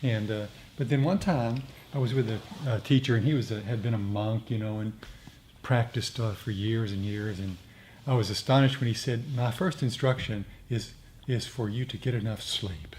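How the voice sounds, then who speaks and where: An American man in casual group discussion as a meditation co-teacher, talking in a halting, conversational way. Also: lowish voice, a little mellow. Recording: clear background room noise.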